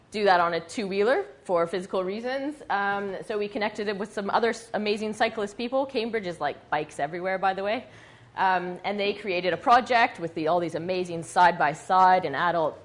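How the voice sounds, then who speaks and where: A woman from western Canada with a scratchy, husky voice, giving a chatty slide presentation with many ums, recorded from a camera across a dim room. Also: on the low side. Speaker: guest speaker. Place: dim meeting room with projector. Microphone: far camera.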